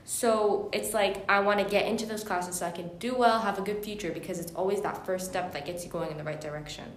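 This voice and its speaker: A woman's voice: steady tone, quick pace. A teenage female student talking casually about her own experience. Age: teens. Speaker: school student. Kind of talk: casual chat. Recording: roomy, faint rumbling noise.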